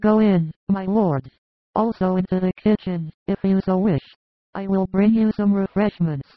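A woman's voice: medium pitch, moderate pace, no room sound, close to an everyday voice. A woman playing a humble, overworked village mother in a scripted audiobook drama, earnest and deferential. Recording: dry, narrow phone-like sound.

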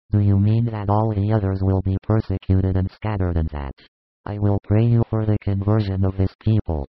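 A man's voice: fairly low pitch, dry recording, narrow, tinny audio, natural voice. A man playing an earnest, pensive disciple with a questioning manner in a dramatized religious audiobook.

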